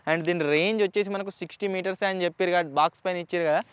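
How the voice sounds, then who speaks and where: A man's voice: high voice, steady tone, racing, fairly bright tone, clean tone, muffled recording. A man with a Telugu accent hosting a casual unboxing vlog, chatty and explanatory, mixing in English words. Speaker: YouTube host.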